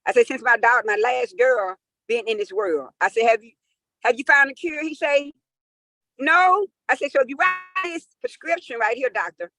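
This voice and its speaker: Lady almost sixty, high voice, acts out every line of the convo, dry close sound. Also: normal speed, no echo. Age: 50s. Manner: lively, acts out quoted dialogue, emphatic. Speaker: caller sharing a testimonial. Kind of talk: animated testimonial storytelling.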